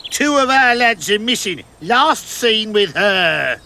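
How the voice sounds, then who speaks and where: An actor playing an agitated, suspicious, somewhat cowardly garrison soldier in a fantasy video game. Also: male voice, high-pitched, sing-song, slow, creaky, sharp tone, a little hiss or hum, exaggerated voice. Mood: agitated, accusing. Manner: agitated, accusing. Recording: faint birdsong and outdoor ambience.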